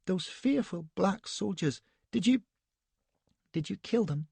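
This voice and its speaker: A man voicing a flustered, naive young Victorian wife who asks one anxious question after another in a high, breathless voice. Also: big pitch swings, moderate pace, husky, dry recording, exaggerated voice. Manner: breathless, fussy, plaintive questioning.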